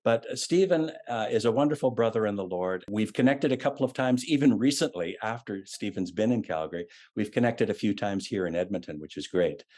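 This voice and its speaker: Low-voiced man hosting an online interview. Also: a little mellow. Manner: friendly, easygoing, with frequent "uh" fillers. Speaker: host of an online ministry network event.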